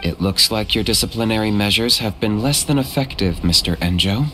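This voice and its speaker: A man's voice: lowish voice, brisk, pushed and bright, a little hiss or hum. A man playing a cool young hunter in a video game, terse, matter-of-fact and unruffled.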